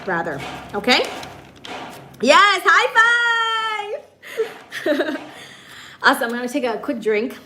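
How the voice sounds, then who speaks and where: A woman from Michigan, hosting a casual livestream and chatting with viewers in a bubbly way, her voice hoarse and raspy, laughing often. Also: fairly high pitch, fairly bright tone, slight background noise. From Michigan, USA. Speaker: livestream host.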